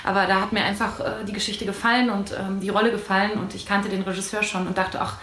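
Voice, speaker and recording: A woman's voice: medium pitch, flat delivery, fast, fairly bright tone. A woman actress answering interview questions enthusiastically and laughing easily. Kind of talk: interview conversation. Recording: echoey room with light noise.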